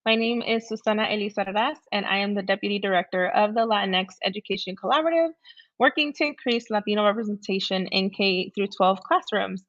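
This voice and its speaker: A woman's voice: medium pitch, a bit bright. A woman hosting a livestream interview in an upbeat way, over a dull-sounding online call. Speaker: livestream show host. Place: remote video call.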